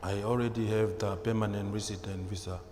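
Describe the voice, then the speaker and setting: Low, flat-toned man in echoey hall. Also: brisk. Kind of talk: halting personal account to a meeting. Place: community hall. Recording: echoey hall, background noise.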